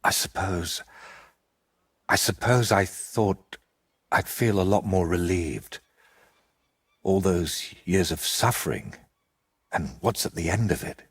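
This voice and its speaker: A man playing an evasive, eccentric wandering painter in a medieval video game, with a husky, rough-edged voice and a theatrical, riddling way of talking. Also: low voice, lively intonation, takes it slowly, long pauses, heavily acted. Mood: weary, hollow. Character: evasive eccentric male wandering painter, wry and world-weary.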